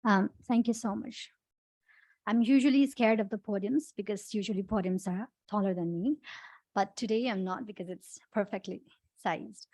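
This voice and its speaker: A woman's voice: mid-range voice, darkish tone, dry recording. A young woman with a Nepali accent gives an earnest prepared public speech as an activist. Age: young adult. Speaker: activist and human rights lawyer. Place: conference podium.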